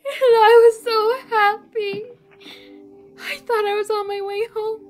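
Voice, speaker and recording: A woman's voice: very high-pitched, flat delivery, slow, faint music behind. A woman voicing an earnest, innocent, emotional Kansas farm girl in a theatrical classic-film dub. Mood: tearful, remorseful. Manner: earnest, girlish, pleading.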